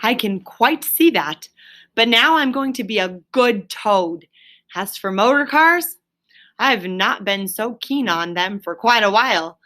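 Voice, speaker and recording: A woman reading a storybook, voicing an excitable, self-pitying male toad with theatrical, drawn-out delivery. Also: mid-range voice. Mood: humble, scheming. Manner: theatrical, drawn-out exclamations and sighing replies.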